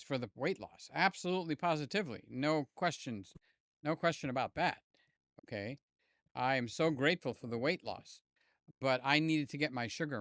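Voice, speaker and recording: An older man hosts a casual live stream, joking and calling out viewers by name. His voice is a little hoarse and sits a touch high for a man. He sounds close and dry on a desk mic at home. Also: fairly bright tone, dry recording. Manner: rambling, jokey, talks to chat by name. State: slightly hoarse. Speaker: livestream host. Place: home room with fans and air conditioner. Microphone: desk mic near the mouth.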